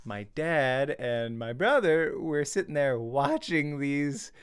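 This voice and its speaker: A young man chatting casually as a vlogger, telling a personal story in an animated way and acting out reactions, close on a desk mic at home. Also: fairly high pitch, slow pace, clean tone. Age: young adult. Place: small home room. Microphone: desk studio mic with pop filter, close.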